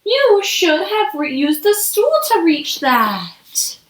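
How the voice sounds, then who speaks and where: Casting: storybook reader as a brisk, bossy mom, female, high and very animated, in a roomy echo. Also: distant mic, heavily acted. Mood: scolding. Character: busy, scolding mother of young children. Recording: echoey room.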